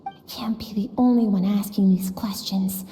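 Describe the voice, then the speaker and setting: Casting: woman playing an adventurous young game heroine, soft mellow high voice, slow earnest delivery, long reverb. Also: steady tone, slight background noise, close to an everyday voice. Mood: dreamy, restless. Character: curious, adventurous young girl longing to explore. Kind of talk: video game voice acting.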